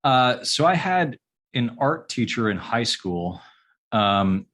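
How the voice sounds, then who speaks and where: A man in his forties, an architect, talking about his schooling in a relaxed, halting way with many pauses and restarts. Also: mid-range voice. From upstate New York. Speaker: architect, podcast guest. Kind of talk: casual interview answers.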